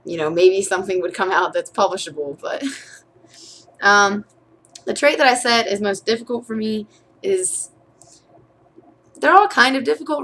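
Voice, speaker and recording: A woman's voice: medium pitch, fairly bright tone, slight background noise. A young woman, a college student, talking candidly in a casual video diary about her writing, chatty with frequent fillers and restarts. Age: young adult.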